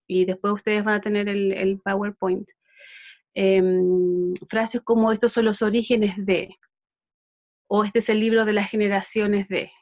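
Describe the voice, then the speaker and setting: A middle-aged woman with a Chilean accent giving an online Bible lesson, unhurried and full of fillers and restarts. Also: mid-range voice, darkish tone, no echo, clean studio sound. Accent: Chilean Spanish. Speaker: Bible school teacher.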